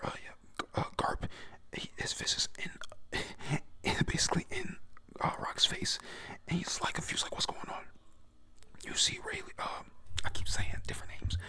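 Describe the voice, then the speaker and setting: A hushed, breathy whisper that still swoops up and down a lot, telling the scenes in loose, casual talk. The speaker swears freely and trips over character names, restarting lines with plenty of fillers. It sounds like an informal ASMR recap. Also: medium pitch. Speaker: recap narrator.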